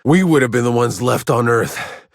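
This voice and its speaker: A man voicing a gruff, battle-weary soldier in a video game, sardonic and hard-bitten, with terse delivery. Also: medium pitch, animated, moderate pace, no echo, studio quality. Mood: bitter. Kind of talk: scripted game dialogue.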